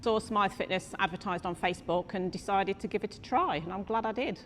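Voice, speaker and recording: A woman in her fifties, a gym member giving an interview in a brisk, matter-of-fact way. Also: medium pitch, fairly bright tone. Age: 50s. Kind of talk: casual interview chat. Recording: reverberant room, faint noise.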